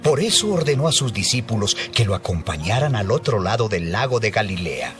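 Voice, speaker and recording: A man narrating a dramatized Bible story as scripted narration, in a measured storytelling manner. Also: on the low side, sing-song, music in the background.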